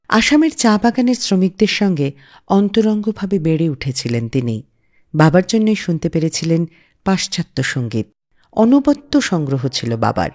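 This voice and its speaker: A woman presenting a scripted radio music show in a measured storytelling manner with dramatic pauses. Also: mid-range voice, darkish tone. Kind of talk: scripted radio narration introducing songs.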